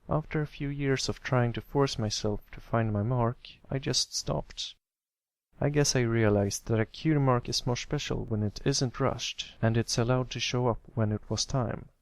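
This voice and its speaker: A man voicing a kindly, encouraging older brother pony with a relaxed, reassuring way of talking, in a read-aloud story. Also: mid-range voice, no room sound, lightly acted.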